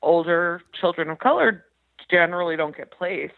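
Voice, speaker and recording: A woman's voice: on the low side, lively intonation, normal speed, vocal fry. A woman calling in to an advice show, talking casually and thoughtfully, a little hesitant, over a narrow phone line. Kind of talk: casual conversation. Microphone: phone call.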